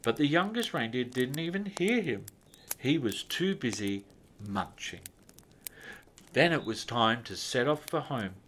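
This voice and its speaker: A man reading a children's story as a storyteller, with expressive, measured phrasing and drawn-out words. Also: medium pitch, slight background noise. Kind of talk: scripted children's story narration.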